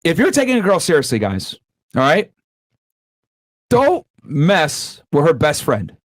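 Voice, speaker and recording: A man's voice: fairly high pitch, huge pitch swings, measured pace, slight background noise. A man in his thirties on a podcast, giving advice straight to the viewers and calling them guys in a casual, lecturing way. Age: 30s. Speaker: podcast co-host.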